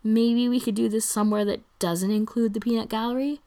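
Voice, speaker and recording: A woman's voice: fairly high pitch, fairly even intonation, brisk, dark tone, voice pushed up, close to an everyday voice. A woman voicing a lovestruck young man in a band, earnest and blurting, in a story reading. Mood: coaxing.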